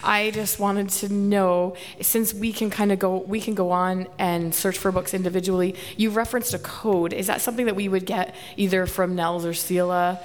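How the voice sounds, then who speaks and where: A woman's voice: mid-range voice, steady tone, moderate pace, few pauses, slight background noise. A woman with a Canadian accent asking a casual, slightly hesitant question from the audience. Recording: hall reverb with faint ambience.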